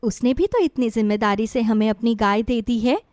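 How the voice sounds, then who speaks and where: A woman's voice: fairly high pitch, moderate pace, darkish tone, no echo, studio quality, natural voice. A woman voicing a hardworking, forgiving poor village mother who speaks earnestly in storybook dialogue. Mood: hopeful.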